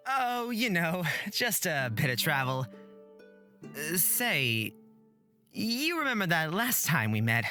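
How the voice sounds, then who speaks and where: A woman's voice: on the low side, sing-song, sharp tone, faint music behind, full character voice. A woman voicing an awkward but caring young man in a fantasy game, with a hesitant way of talking. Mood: nervous bluffing. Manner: hesitant, halting.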